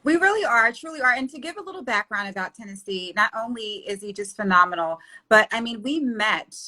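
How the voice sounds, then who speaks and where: A woman's voice: fairly high pitch, lively intonation, a little fast, bright, forceful tone. A woman hosting a live online interview show, bubbly and effusive as she welcomes her guest. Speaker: host.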